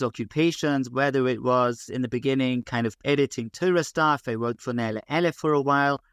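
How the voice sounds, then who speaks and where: Thoughtful London-born man, smooth voice. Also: medium pitch, a bit bright, no room sound, clean studio sound. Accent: London English. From England. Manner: measured, many fillers and restarts. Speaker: guest rabbi. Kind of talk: podcast interview.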